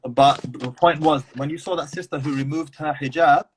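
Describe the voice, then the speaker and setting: A man hosting a live stream, talkative and quick, filling pauses with murmured agreement. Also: a little high, fairly even intonation, slight background noise. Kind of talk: casual livestream chat.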